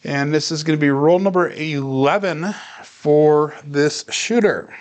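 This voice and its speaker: Man, fairly high voice, smooth clean tone, calm steady livestream narration, bet-by-bet commentary. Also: a little slow. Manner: calm, steady, talks viewers through each bet. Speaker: craps practice live streamer. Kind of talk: casual play-by-play livestream narration.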